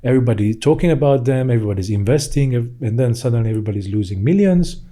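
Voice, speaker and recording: A man's voice: mid-range voice, lively intonation, rapid-fire, mellow. A man in his thirties with a Hungarian accent, telling his story conversationally as a podcast guest in a studio. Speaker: podcast guest, financial services professional.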